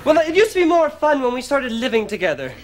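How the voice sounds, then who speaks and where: A man's voice: extremely high pitch, sing-song, quick pace, a faint crowd, a little hiss or hum. An actor in his thirties playing a fed-up young girlfriend in a TV comedy sketch, weary, sulky and snapping back. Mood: weary, pleading. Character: fed-up, put-upon young girlfriend, a woman. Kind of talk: TV sketch comedy drag acting. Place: TV studio apartment set.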